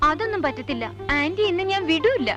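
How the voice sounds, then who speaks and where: A woman's voice: very high voice, very animated, fast talker, music in the background. A young actress playing a headstrong, girlish teenage daughter in a Malayalam film drama, talking insistently. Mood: cheerful, eager.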